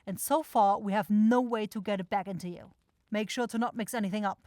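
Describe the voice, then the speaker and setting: A woman's voice: mid-range voice, fairly quick, dark tone, dry recording, close to an everyday voice. Woman acting brusque demon shop boss, very dry close sound. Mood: brisk, instructing. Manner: brusque, exasperated, sarcastic. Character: bossy, grumbling demon woman shopkeeper, sweary supervisor. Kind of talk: fantasy audio roleplay acting.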